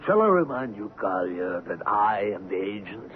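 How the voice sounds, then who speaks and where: Radio drama casting: pompous colonial trading agent, bossy and irritable, lo-fi broadcast with faint noise. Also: male voice, fairly low pitch, big pitch swings, normal speed. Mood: commanding anger. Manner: barking orders, pompous. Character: imperious, short-tempered male colonial trading post agent. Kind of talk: 1970s radio drama acting. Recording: muffled, noisy old radio broadcast.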